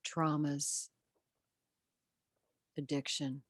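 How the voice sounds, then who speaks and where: A woman leading a guided meditation and prayer in a gentle, soothing, measured way with long pauses, her soft voice turning breathy at phrase endings. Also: deep voice, level tone, no echo, clean studio sound.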